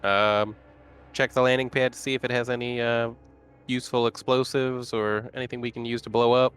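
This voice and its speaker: A man's voice: mid-range voice, level tone, moderate pace, a bit bright, clean tone. A man playing a tabletop roleplaying game, chatting casually and thinking aloud as he goes. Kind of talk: casual chat.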